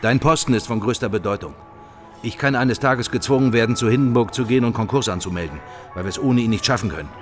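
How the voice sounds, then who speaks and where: An actor playing a wary, irritated head of government in a radio drama, insistent and sardonic. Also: male voice, mid-range voice, quick pace, natural voice. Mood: pressing, alarmed. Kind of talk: German radio drama acting. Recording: long reverb, music underneath.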